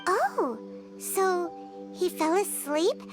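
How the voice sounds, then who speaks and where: A woman voicing a small, chatty, childlike fairy companion in a video game, squeaky and excitable. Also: drawn-out words, heavily acted. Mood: puzzled, relieved. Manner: speaks of self in third person, exclaiming. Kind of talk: video game character acting. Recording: background music under dialogue.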